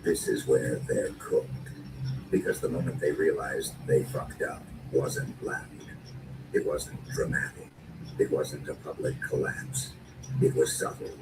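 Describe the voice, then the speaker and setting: A man performing dark, dramatic spoken-word narration in short, deliberate and menacing phrases, as a narrator. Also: a bass voice, roomy sound. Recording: distant, noisy lo-fi recording.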